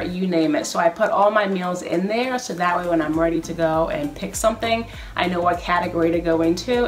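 A woman in her thirties chatting casually in a home kitchen vlog, walking through her meal plan and listing groceries one by one in an upbeat way. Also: lowish voice. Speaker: vlogger. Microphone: camera in front, medium framing.

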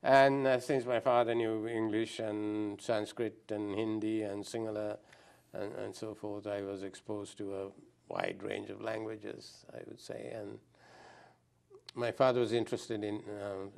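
An older man from Sri Lanka speaks in a low, resonant voice. He answers interview questions reflectively, pausing often and restarting his sentences as he thinks. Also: little pitch movement, vocal fry, a little mellow, smooth. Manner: thoughtful, hesitant, many ums and restarts. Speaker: guest anthropologist.

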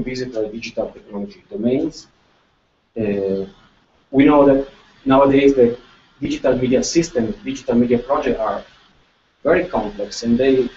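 A man's voice: mid-range voice, darkish tone, a little hiss or hum. Italian-accented man lecturing in echoey room. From Italy. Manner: halting, many restarts and repeated words, gesturing. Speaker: guest researcher presenting. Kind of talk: lecture presentation. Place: lecture room with projector screen. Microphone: far room camera. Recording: echoey room, distant and dull.

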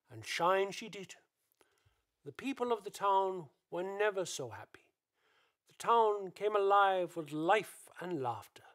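A man narrates a radio play from a script. His voice sits high, and he takes his time, telling the story slowly and dramatically. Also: a bit bright.

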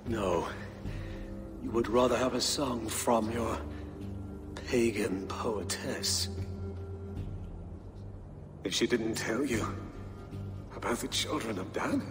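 Dying older king, spiteful, halting, slow, low-pitched, long reverb, game drama. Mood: taunting. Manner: halting, weak, taunting. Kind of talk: dramatic video game acting. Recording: long hall reverb under clear music.

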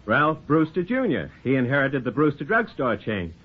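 Husky, nosy newspaper reporter. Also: male voice, medium pitch, very animated, normal speed. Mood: probing. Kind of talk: 1940s radio acting. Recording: tinny 1940s radio broadcast.

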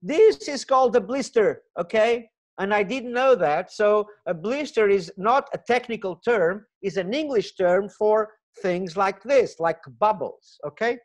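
A middle-aged man with a Portuguese accent, a Portuguese native speaker giving an earnest webinar lecture over a webcam, with many fillers and restarts. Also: high-pitched. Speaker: gemology lecturer.

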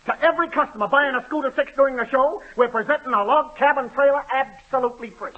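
A man's voice: extremely high pitch, quick pace. An actor playing a chummy, folksy car salesman's sidekick in a 1930s radio comedy. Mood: chummy, selling. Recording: thin phone-like 1930s radio broadcast.

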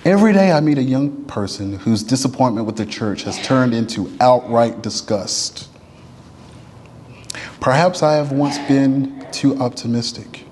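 A man reads a written letter aloud in a solemn, oratorical style, with deliberate, emphatic phrasing and slowed, falling endings to phrases. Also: medium pitch, darkish tone, a little hiss or hum. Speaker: reader at a church service. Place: church sanctuary. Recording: long reverb of a large hall.

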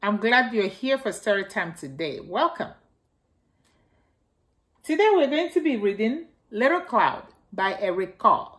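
Slow, lively middle-aged woman reading to children. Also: mid-range voice. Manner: simple, deliberate phrasing with repeated key words. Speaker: storytime host reading a picture book to young children. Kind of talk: read-aloud storytelling for small children. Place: indoors in a home, beside a staircase.

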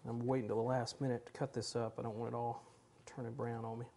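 A man's voice: mid-range voice, little pitch movement, fast, gravelly, mellow, a little hiss or hum. A man in his forties hosting a home cooking show, talking casually and enthusiastically while he works in a large kitchen. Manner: chatty, enthusiastic, talks while working.

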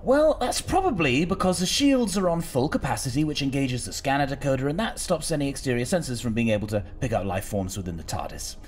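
A man playing an eccentric, brisk time traveller who owns a ship, chatty and exasperated, in an audio drama. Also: mid-range voice, animated, lightly acted. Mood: matter-of-fact. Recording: faint background noise.

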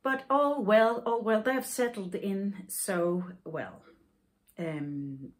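A woman in her forties from Denmark, a native Danish speaker, hosting a knitting podcast and telling a personal story in a thoughtful, halting way with many fillers. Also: medium pitch. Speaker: podcast host. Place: home room with yarn shelves, indoors.

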